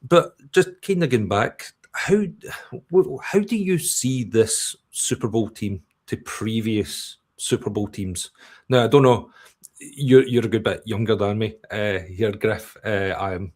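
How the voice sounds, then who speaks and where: A man's voice: fairly low pitch, measured pace, a little mellow. A Scottish man in his forties hosting a casual sports chat, asking long, rambling questions. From Scotland. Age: 40s. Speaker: podcast host.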